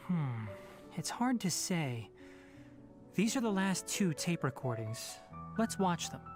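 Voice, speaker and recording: A man voicing a calm young forest scholar in a video game, measured and pondering. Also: fairly high pitch, music in the background. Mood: thoughtful.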